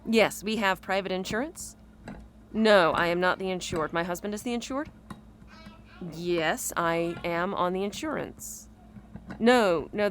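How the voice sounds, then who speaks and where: A woman's voice: lowish voice, slight background noise, natural voice. A woman playing a weary, wry mother on the phone with her insurer in an audio drama. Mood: weary, patient.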